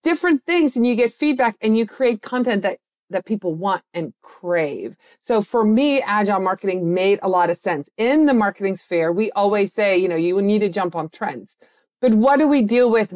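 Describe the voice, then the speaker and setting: A middle-aged woman originally from the Netherlands, speaking as an interview guest. She talks with animated enthusiasm and lively storytelling. Her voice sits at an ordinary middle pitch. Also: moderate pace. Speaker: agency owner, guest. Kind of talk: interview conversation.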